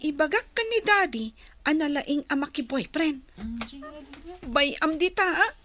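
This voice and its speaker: Woman playing a very high, whiny schoolgirl. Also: expressive, a little slow, bright, forceful tone, exaggerated voice. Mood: sly, tattling. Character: whiny, scheming schoolgirl daughter tattling to her father. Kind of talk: Ilocano radio comedy drama acting.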